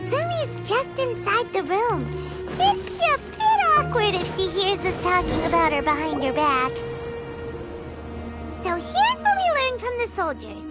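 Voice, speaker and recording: A woman voicing a tiny, excitable childlike female fairy companion in a video game, with a squeaky, perky delivery. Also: measured pace, over loud music, exaggerated voice. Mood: earnest recap. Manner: perky, refers to self by name. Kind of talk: animated video game dialogue.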